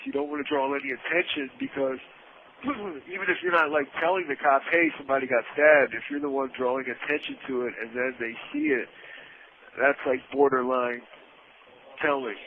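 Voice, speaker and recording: A man's voice: mid-range voice, normal speed. Tinny phone call, faint noise, man, casual storyteller, matter-of-fact, informal, sweary. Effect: phone line. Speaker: podcast co-host calling from prison. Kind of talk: casual first-person storytelling. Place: inside a prison, on a phone call. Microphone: telephone handset. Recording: noisy tinny prison phone line.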